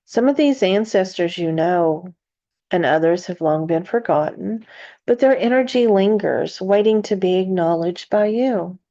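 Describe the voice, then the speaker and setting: A woman hosts a guided meditation at a very unhurried pace. Her voice is mellow and dark, with gentle, deliberate phrasing and long pauses between thoughts. The recording sounds slightly dull and muffled. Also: mid-range voice. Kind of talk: slow, soothing guided meditation narration.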